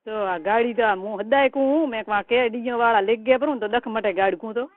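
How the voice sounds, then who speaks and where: A woman's voice: fairly high pitch, fast, dry recording. A middle-aged woman with a rural Rajasthani Marwari accent, talking colloquially and scoldingly in a private phone conversation. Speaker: caller, relative giving advice. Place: recorded telephone call. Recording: tinny phone line, noisy call recording.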